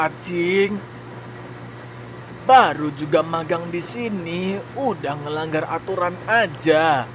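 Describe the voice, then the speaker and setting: A man playing a bossy male factory supervisor in a cartoon drama, with a chiding, authoritative manner. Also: high-pitched. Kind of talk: animated cartoon drama dialogue.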